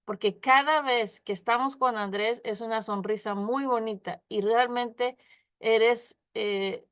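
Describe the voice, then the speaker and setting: A woman speaking Spanish as a second language gives a reflective spiritual talk, slightly high-pitched, stopping to restart her phrases and checking in with her listeners. Her delivery is calm and searching. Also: clean tone. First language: not Spanish. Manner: thoughtful, many pauses and restarts, frequent tag questions. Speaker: study group speaker.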